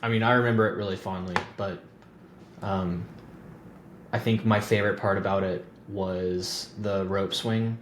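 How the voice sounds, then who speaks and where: An easygoing man in his thirties with a somewhat low voice, wandering through his questions with "um" and "like." The room rings back, with a faint hum of noise behind him. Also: steady tone, moderate pace. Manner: easygoing, rambling questions with fillers. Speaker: podcast host. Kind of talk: casual conversation. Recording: roomy with faint background noise.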